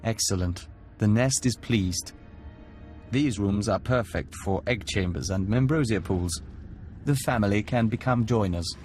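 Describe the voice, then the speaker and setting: A man voicing a calm, polite diplomat who speaks formally and evenly for an insect hive mind in a video game. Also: fairly low pitch, faint music behind, lightly acted. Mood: serene, pleased. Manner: formal, measured, speaks of himself as we.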